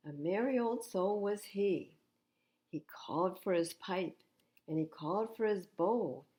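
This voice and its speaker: Unhurried older woman reading to children. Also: medium pitch. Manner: expressive, unhurried, addressing young listeners. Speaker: library storytime reader. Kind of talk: read-aloud children's storytime with asides.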